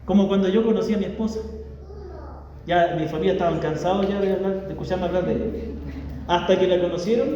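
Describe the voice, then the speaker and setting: A man's voice: high voice, steady tone, quick pace, long pauses, noisy background. A man from Chile with a Chilean accent, a pastor preaching a chatty, joking sermon full of rhetorical questions to the congregation. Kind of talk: conversational sermon. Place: church hall. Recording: echoey church hall from far off, dull.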